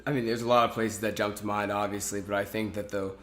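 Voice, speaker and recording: Young man, low voice, echoey room. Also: fast talker. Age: 20s. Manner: relaxed, many fillers and restarts. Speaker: podcast guest. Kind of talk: casual interview talk.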